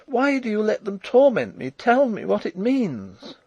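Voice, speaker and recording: Young princess, played by a male narrator: lifted pitch, proud, ardent, formal storybook delivery, very animated. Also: a little slow, vocal fry, slightly rough, no echo, muffled recording, exaggerated voice. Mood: impassioned, pleading. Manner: formal, impassioned. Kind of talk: audiobook character voice.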